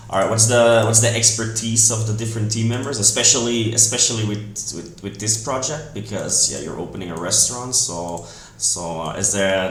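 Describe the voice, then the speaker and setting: Deep-voiced man hosting in an echoey room. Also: a bit bright. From Belgium. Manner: friendly, affirming, hesitant questioning. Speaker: podcast host. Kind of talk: casual interview questions. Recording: roomy echo with faint noise.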